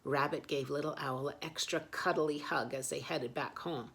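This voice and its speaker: A woman's voice: sits low, fairly even intonation, normal speed, creaky, slightly rough. A woman reading picture books aloud expressively as a children's storyteller.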